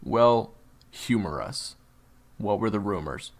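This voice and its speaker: Male actor as cocky young swordsman, crude, theatrical, gravelly, very animated, audio roleplay. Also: fairly low pitch, slow pace. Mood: impatient, sarcastic. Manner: slangy, sarcastic, theatrical.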